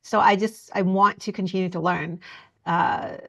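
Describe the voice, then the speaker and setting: A woman from Indonesia, speaking earnestly as a podcast guest, often pausing and restarting her sentences. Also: lowish voice, fairly even intonation, vocal fry. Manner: earnest, halting, with frequent fillers and restarts. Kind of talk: conversational interview.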